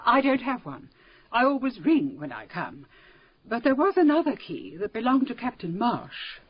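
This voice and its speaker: An actress playing a prim, self-assured secretary in a radio drama, speaking in a clipped, firm, formal way. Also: female voice, on the high side, big pitch swings, normal speed, slightly rough, no room sound. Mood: certain, firm. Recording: dull lo-fi radio drama audio.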